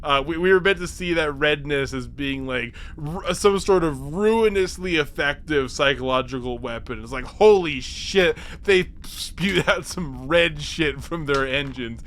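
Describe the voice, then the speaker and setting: A man hosting a podcast, giving a casual, comedic episode recap with halting, repetitive, sweary phrasing. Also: fairly high pitch, fairly bright tone. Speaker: podcast host.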